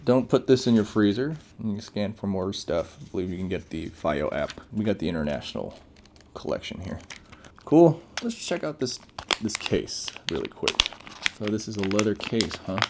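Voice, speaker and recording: Low, mellow-voiced man, casual reviewer. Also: big pitch swings, normal speed, a little hiss or hum. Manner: laid-back, off-the-cuff reactions, informal slang. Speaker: tech reviewer. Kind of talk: casual unboxing commentary.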